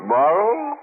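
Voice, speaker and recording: An actor playing a ghoulish horror host in 1940s radio, mocking and punning in a theatrical, tongue-in-cheek way. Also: male voice, on the low side, narrow, tinny audio, exaggerated voice. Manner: macabre, punning, theatrical, chuckling. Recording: noisy, band-limited 1940s radio recording.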